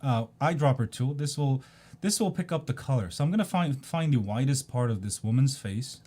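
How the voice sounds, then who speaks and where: A man's voice: mid-range voice, animated, moderate pace, dark tone. A man in his forties, raised in South Korea, casually explaining things over a screen share in a rambling way, with lots of ums and restarts. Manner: rambling, many ums and restarts, repeats "go ahead and". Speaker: video creator.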